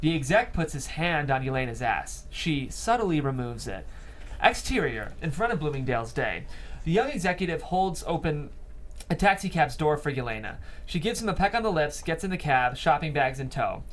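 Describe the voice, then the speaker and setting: A man's voice: fairly high pitch, big pitch swings, normal speed, a bit bright. A man reading stage directions as narrator at a script table read.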